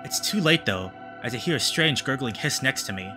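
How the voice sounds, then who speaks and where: A man voicing a haunted, grieving animal-man who narrates a visual novel in a hushed, grave, measured way. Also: medium pitch, animated, over music, close to an everyday voice. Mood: grim, haunted.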